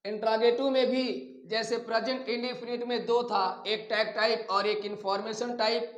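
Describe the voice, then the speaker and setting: A man teaching a grammar lesson step by step, deliberately dictating and stretching out repeated examples. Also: sits high, level tone, dull audio. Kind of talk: classroom lesson, step-by-step explanation. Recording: echoey room.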